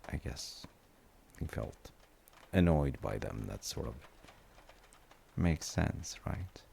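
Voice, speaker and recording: Very deep, slow, gravelly dad played by a man. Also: lively intonation, slight background noise, full character voice. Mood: soothing, musing. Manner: unhurried, musing, halting with soft trailing phrases. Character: gentle, musing father comforting his tired grown child. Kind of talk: soft, rambling sleep-aid audio roleplay.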